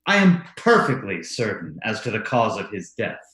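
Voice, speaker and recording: A man's voice: on the low side, very animated, normal speed, fairly bright tone, echoey room. An actor playing a controlled, coldly certain man in an old-time radio style drama. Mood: cold, firm. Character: controlled, coldly certain brother in mourning household.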